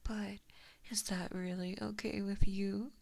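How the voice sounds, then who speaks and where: A woman voicing an evil, possessive giant fox spirit in a slow ASMR roleplay, whispering softly and breathily with mockingly sweet menace, close to a binaural mic. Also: mid-range voice, little pitch movement, gravelly. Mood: affectionate teasing. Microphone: close binaural microphone.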